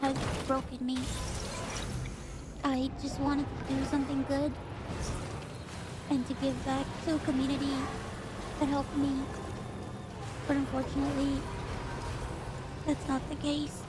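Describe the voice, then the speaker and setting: A woman YouTuber reads a prepared statement in a halting, distressed way. Her voice is high, and heavy noise runs under the recording. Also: level tone.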